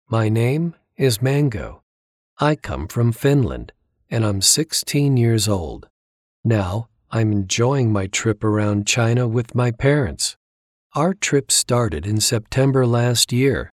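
A man's voice: mid-range voice, no room sound, clean studio sound. A man reading as a teenage boy traveler. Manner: steady, deliberate textbook reading. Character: friendly teenage Finnish boy on a trip. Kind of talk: scripted listening-test monologue. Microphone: close studio mic.

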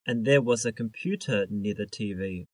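A man reading scripted English lesson sentences for a listening test, in a measured, carefully enunciated way. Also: lowish voice, darkish tone, dry recording, rough recording. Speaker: exam listening test reader.

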